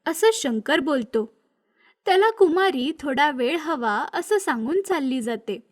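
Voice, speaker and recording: High-pitched woman storyteller. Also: lively intonation, measured pace. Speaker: narrator of a moral story. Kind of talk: scripted animated-story narration.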